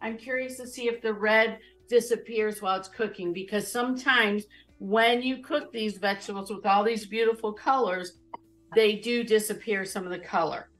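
A woman's voice: mid-range voice, steady tone, moderate pace, fairly bright tone, faint music behind, rough recording. A woman in her fifties hosting a live cooking show from her home kitchen, chatting casually and explaining each step as she goes.

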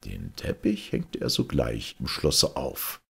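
A male storyteller reads a fairy tale in a strikingly husky, gravelly voice. He takes his time over the phrases and gives the scripted narration an expressive, dramatic shape. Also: rumbling low, slow pace. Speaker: storyteller reading fairy tales aloud.

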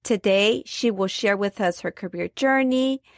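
A woman's voice: mid-range voice, unhurried, no room sound, studio quality. A woman speaking as the host of an interview podcast. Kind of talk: scripted introduction and interview.

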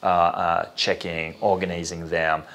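A man with a French accent giving explanatory interview answers, halting as he thinks aloud and often saying "you know". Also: low voice, flat delivery, a bit bright. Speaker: interview guest, venture capital investor. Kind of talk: spoken interview, explanatory talk.

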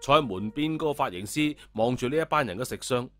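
A man's voice: medium pitch, animated, fast, a bit bright, dry recording. Male storyteller over music. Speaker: audiobook narrator. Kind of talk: animated scripted storytelling. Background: background music.

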